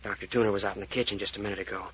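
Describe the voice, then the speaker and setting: A muffled old radio recording of a man acting an earnest young hero in a mystery serial. His voice is on the low side, rough-edged and bright, with an anxious, protective temper. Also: flat delivery, very fast, slight background noise, lightly acted. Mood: worried. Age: young adult.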